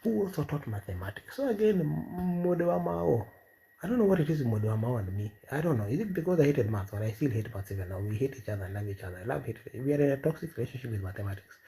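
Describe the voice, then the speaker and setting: A Kenyan man in his fifties telling rambling personal stories in a casual monologue, animated and given to repeating words. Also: mid-range voice, fast talker, vocal fry, low-quality recording. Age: 50s. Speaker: vlogger, comedian and doctor.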